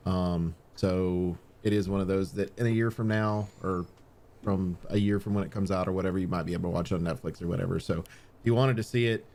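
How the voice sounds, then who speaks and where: A man's voice: low voice, steady tone, fairly quick, a little mellow. A man co-hosting a podcast, casually explaining entertainment news to his friends. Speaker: podcast co-host. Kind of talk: casual chat, informal news round-up.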